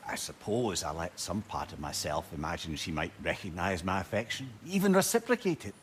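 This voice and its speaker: A man's voice: deep voice, very animated, a little fast. An actor playing a witty, wistful old male advisor with a Scottish accent, telling stories lyrically and wryly in a video game. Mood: reflective. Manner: lyrical, wry, conversational. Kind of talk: storytelling video game dialogue. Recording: ambient noise behind voice.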